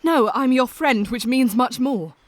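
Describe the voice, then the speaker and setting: An actress playing a gentle, modest young Englishwoman in an audio drama, speaking tenderly and earnestly. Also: fairly high pitch, a little slow, no room sound, close to an everyday voice. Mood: worried. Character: gentle, worried young woman, modest friend.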